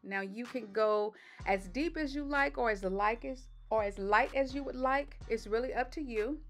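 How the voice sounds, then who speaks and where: A woman with a slightly raspy voice doing a relaxed step-by-step voice-over for her makeup tutorial. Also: fairly high pitch, normal speed, faint music behind. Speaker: beauty vlogger. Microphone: voice-over mic.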